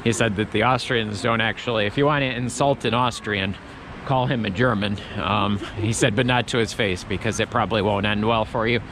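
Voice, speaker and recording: A man's voice: fairly low pitch, fairly even intonation, fairly quick, noisy background. Rambling man vlogging outdoors. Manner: rambling, chatty, many restarts. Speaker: travel vlogger. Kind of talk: casual walking commentary. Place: outdoor Christmas market in a city.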